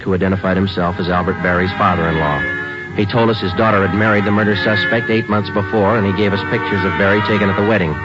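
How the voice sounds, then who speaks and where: A man's voice: low-pitched, natural voice. An actor playing a terse, matter-of-fact police detective sergeant narrating his case in 1940s radio style. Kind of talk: 1940s radio acting, clipped scripted narration. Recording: dull old radio recording, loud music.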